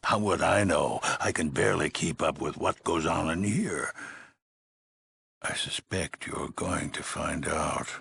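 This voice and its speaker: An actor playing a weary, grave older leader of an underground vault in a video game, speaking in a measured, solemn way with heavy pauses. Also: male voice, extremely low pitch, fairly bright tone, exaggerated voice. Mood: somber, guarded. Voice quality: gravelly, husky. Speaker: overseer of a vault community. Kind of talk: dramatic video game dialogue.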